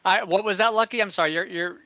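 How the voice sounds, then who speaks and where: A man acts as game master for an online fantasy game, explaining scenes conversationally. His voice sits a little high and has a forceful, bright edge. The sound is slightly lo-fi, as on an online call. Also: fast talker, no echo.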